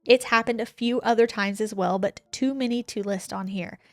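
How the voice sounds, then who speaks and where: A woman's voice: fairly high pitch, steady tone, normal speed, dry recording, soft background music. Woman reading a spooky letter aloud. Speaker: podcast co-host. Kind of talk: reading a listener's ghost story aloud.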